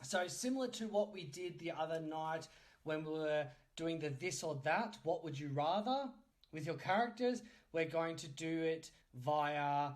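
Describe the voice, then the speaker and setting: Male host, very animated delivery, casual and cheeky interviewing. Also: a little high, unhurried. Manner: teasing, jokey. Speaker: podcast host. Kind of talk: casual quick-fire interview chat.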